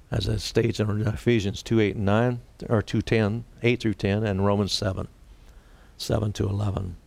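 A man preaching a sermon and leading a prayer, his voice raspy today, speaking deliberately and rising for emphasis. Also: mid-range voice, no room sound. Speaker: pastor.

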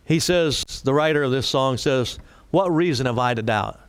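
A man preaching a sermon and leading a prayer, his voice raspy today, speaking deliberately and rising for emphasis. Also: medium pitch, dry recording. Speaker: pastor.

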